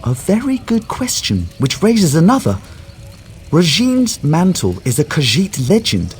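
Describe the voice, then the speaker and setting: A man's voice: on the high side, huge pitch swings, unhurried, a little hiss or hum. An actor voicing a cautious, scholarly male mage in a video game, speaking formally and precisely. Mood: thoughtful, explanatory. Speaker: quest-giving character.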